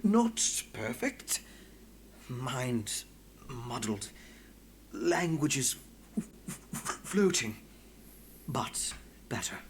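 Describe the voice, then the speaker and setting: An actor playing a muddled, earnest man recovering his speech, breathy and stammering in broken phrases in a video game. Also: mid-range voice, sing-song, extremely slow, pauses often, slight background noise, full character voice. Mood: strained, earnest. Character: muddled, halting male friend, recovering and earnest.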